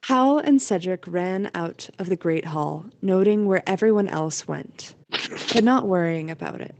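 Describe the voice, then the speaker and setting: A woman's voice: on the low side, unhurried, a little mellow, a little hiss or hum. A woman reading scripted storytelling narration for an audio play.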